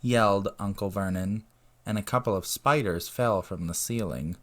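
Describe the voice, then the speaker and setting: A man's voice: fairly low pitch, moderate pace, fairly bright tone. A man reading as the narrator of a dramatic audiobook.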